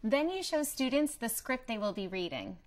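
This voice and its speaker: A woman presenting a promotional training video in a scripted, clearly structured explanatory way. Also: fairly high pitch, big pitch swings, normal speed. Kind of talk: scripted instructional narration.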